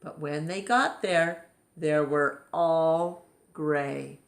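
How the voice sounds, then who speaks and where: A woman's voice: low-pitched, extremely slow, gravelly, roomy sound. A woman narrating a children's picture book expressively as a storytime reader.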